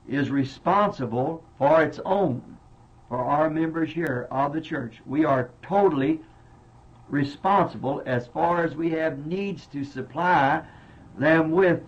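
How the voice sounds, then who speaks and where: Earnest pastor on old church tape. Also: male voice, on the high side, takes it slowly. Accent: Southern American. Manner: earnest, plain-spoken, repeats words. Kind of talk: sermon answering congregation questions. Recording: dull lo-fi 1960s tape recording.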